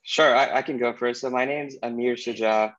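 A man in his thirties from Pakistan, a startup co-founder with a mid-range voice. He answers interview questions in a warm, chatty way with small restarts. His audio sounds dull and lo-fi, as over a remote connection. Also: mid-range voice, steady tone, normal speed.

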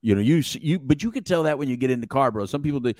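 A man whose first language is Spanish tells a story with animated, slangy energy in his mid-range voice. His voice is recorded close and dry, with no room around it. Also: medium pitch, rapid-fire, no echo. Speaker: podcast co-host. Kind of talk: casual conversation.

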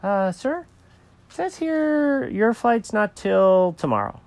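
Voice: medium pitch, very animated, slow, creaky, darkish tone, no echo, voice pushed up, exaggerated voice. A storyteller voicing a courteous, apologetic woman working at an airline check-in counter. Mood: polite. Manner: hesitant, courteous. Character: polite, apologetic female airline check-in clerk.

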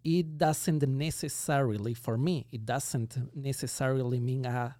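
A man in his thirties speaks with an Ecuadorian Spanish accent, his voice sitting at an ordinary middle pitch. He talks thoughtfully, building each point in measured phrases. The sound is close and dry, with no room echo. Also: fairly even intonation, mellow. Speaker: guest magician. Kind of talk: reflective conversational interview.

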